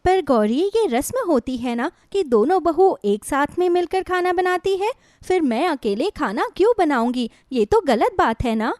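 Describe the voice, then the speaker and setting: A woman voicing a gentle, wronged young sister-in-law in a moral storybook, plaintive, earnest and reproachful. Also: high voice, expressive, normal speed, few pauses, dry recording, studio quality, pitched above the performer's own voice. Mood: hurt, protesting. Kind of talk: dramatized Hindi moral storybook.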